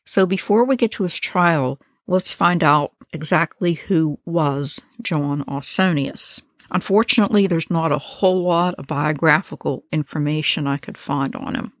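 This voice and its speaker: A woman's voice: sits low, normal speed, few pauses, dry recording, studio quality. A woman hosting a true crime podcast, telling the story casually with wry, offhand asides.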